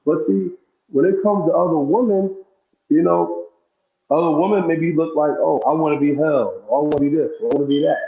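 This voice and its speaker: Higher-voiced man in an echoey room. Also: a little fast. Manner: repeats phrases, rambling, swears casually. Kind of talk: casual conversational opinion. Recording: echoey, dull lo-fi sound.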